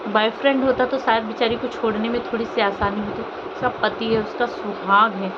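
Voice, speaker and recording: A woman's voice: a little high, little pitch movement, moderate pace. A woman from India vlogging informal, opinionated commentary to viewers in an emotive way, with rhetorical questions and repetition. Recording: dull lo-fi audio, loud vehicle noise.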